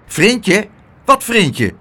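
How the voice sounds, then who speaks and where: A tiny, fretful gatekeeper from a children's storybook, acted out by a male reader. The voice is pitched far up and jumps about in agitation. He snaps and contradicts, full of suspicion. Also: huge pitch swings, moderate pace, a bit bright, full character voice. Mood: suspicious. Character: tiny nervous grumpy cave gatekeeper man, contrary and suspicious.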